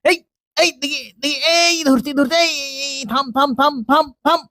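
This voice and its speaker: A man's voice: extremely high pitch, fairly even intonation, normal speed, pushed and bright, no room sound, clean studio sound. A man with a northern Bangladeshi accent voicing a bumbling, chattering hangman in a comic game, through a voice changer. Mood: panicked. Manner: chattering, exclaiming, repetitive. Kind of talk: comic dubbed game roleplay in dialect.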